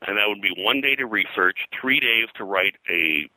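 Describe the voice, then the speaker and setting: Low, bright-voiced American man, rambling storyteller. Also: animated, moderate pace, dry recording, rough recording. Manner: rambling, digressive, detail-heavy. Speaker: interview guest, pop culture historian and publisher. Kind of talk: conversational interview, telling detailed anecdotes.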